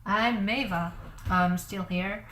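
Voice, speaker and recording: A woman's voice: medium pitch, steady tone, slow, a bit bright. A woman, a podcast regular, chatting with laughter. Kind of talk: casual chat. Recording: echoey room with crowd noise.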